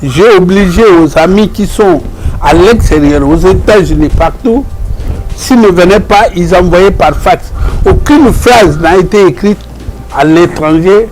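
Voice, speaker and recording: A man with a Congolese French accent speaking as a professor in an interview, arguing emphatically and deliberately, repeating phrases for emphasis. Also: sits high, a little mellow, soft background music, low-quality recording. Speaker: professor, guest and spokesperson. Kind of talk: earnest interview argument.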